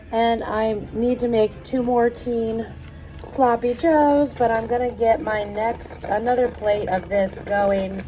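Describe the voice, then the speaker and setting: Hoarse chatty mom vlogging a hotel room. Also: female voice, fairly high pitch, a little mellow, low-quality recording. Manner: chatty, upbeat running commentary. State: hoarse, losing her voice. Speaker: vlogger, mother of a large family. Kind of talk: casual vlog narration while cooking. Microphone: handheld phone.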